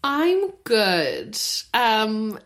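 A woman with a New Zealand accent co-hosting a podcast, relaxed and giggly in casual chat. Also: fairly high pitch, drawn-out words. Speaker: podcast co-host.

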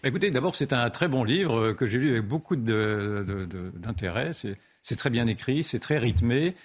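A man's voice: mid-range voice, lively intonation, normal speed. Man, interview host, mid-range voice, courteous, restarts often. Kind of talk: studio interview questions.

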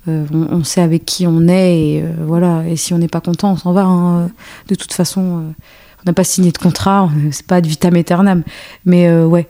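Hesitant woman in her thirties, mellow voice. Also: fairly low pitch, flat delivery, fairly quick. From born in Algeria, raised in a French suburb. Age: 30s. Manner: hesitant, many fillers and restarts. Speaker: guest, choreographer and dance teacher. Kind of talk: casual conversational interview.

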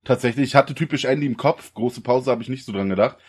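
A young man from the co-hosting a casual podcast, slangy and relaxed, often laughing. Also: medium pitch, fast, breathy edge. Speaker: podcast co-host. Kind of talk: casual chat.

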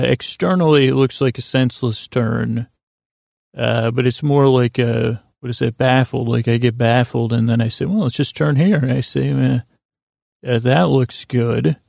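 A man hosting a bedtime podcast in a rambling, halting monologue full of stammered restarts and tangents, with self-deprecating deadpan humour. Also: medium pitch, creaky, no echo, clean studio sound. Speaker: podcast host.

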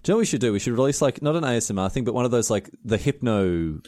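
An Australian man co-hosts a podcast in casual banter, sarcastic and quick to joke. His pitch swoops around a lot, and he talks at a fast clip with a mid-range voice. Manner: joking, sarcastic, animated. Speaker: podcast co-host.

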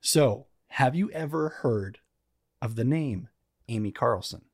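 A man's voice: mid-range voice, lively intonation, slow, dry recording, clean studio sound. A young man co-hosting a true crime podcast, chatting casually in an easygoing, joking way. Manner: easygoing, joking, leads the story. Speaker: podcast co-host. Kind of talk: casual chat.

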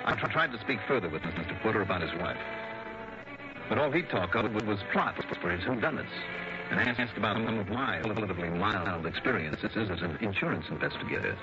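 An actor playing a suave insurance investigator in a 1960s radio detective drama, measured, wry and probing. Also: male voice, fairly low pitch, animated, over music, close to an everyday voice. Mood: reflective narration. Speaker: lead investigator and narrator. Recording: muffled, noisy old radio broadcast.

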